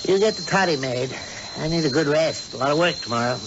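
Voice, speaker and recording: An American actor playing a folksy, cheerful elderly husband, chatty and affectionate, in old-time radio drama style. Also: male voice, on the high side, animated, fast talker, natural voice. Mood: homely, businesslike. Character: cheerful, folksy elderly husband, a practical homely male witch. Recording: dull, hissy old radio recording.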